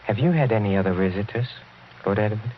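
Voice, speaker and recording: Casting: male actor as suave older aristocrat, deep voice, quietly menacing, radio horror villain on a dull, noisy recording. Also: natural voice. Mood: sly, amused. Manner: suave, chuckling, deliberate menace with courteous hesitations. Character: sinister, courtly older count from a decadent noble family. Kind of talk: radio horror drama acting.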